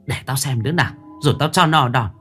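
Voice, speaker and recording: A man's voice: mid-range voice, fast, fairly bright tone, slightly rough, soft background music. A man voicing a fierce, cruel older woman who barks threats at her household. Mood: furious. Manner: scolding, barking threats. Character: fierce shrill older woman, bullying mistress.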